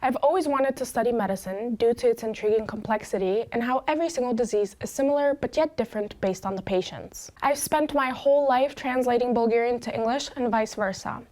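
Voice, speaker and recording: A woman's voice: on the high side, a little fast, few pauses, darkish tone. A young woman in her twenties with an American accent, giving a prepared introduction as a student presenter. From Chicago, United States. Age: 20s.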